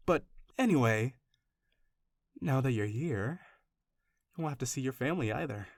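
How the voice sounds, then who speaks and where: A man's voice: medium pitch, dry recording. A man playing an obsessive, doting kidnapper in a roleplay audio, speaking to the listener with rambling, anxious affection. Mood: awkward, reassuring. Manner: soft-hearted, rambling, hesitant, gushing reassurance. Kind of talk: intimate roleplay audio acting addressed to the listener.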